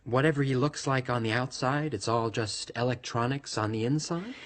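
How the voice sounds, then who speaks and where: An actor playing a resentful, lovesick male android in a radio drama, speaking insistently with cold menace and a husky voice. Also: medium pitch, moderate pace, slight background noise, lightly acted.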